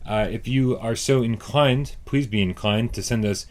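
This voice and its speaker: A man hosting a casual gaming podcast, stumbling over words and filling pauses with "uh". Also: medium pitch, slight background noise. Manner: stumbling, repeats words, many fillers. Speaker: podcast host.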